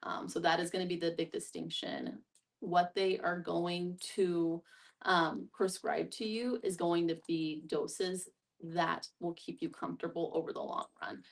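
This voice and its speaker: A woman's voice: on the low side, steady tone, measured pace, gravelly. A woman speaking as a discussion facilitator, giving thoughtful, explanatory answers in a conversational way. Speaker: guest facilitator, hospice social worker. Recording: distant mic.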